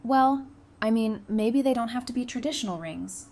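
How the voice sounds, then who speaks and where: A woman's voice: on the high side, moderate pace, darkish tone, natural voice. A woman playing an affectionate, playful survivor in an audio drama, casual and teasing in her delivery.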